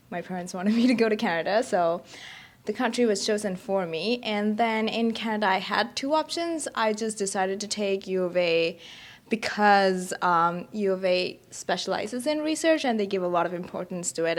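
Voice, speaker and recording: Casting: female student in her youth, Sri Lankan accent, average pitch, informal interview answers. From Colombo, Sri Lanka. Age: young adult. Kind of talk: casual interview conversation.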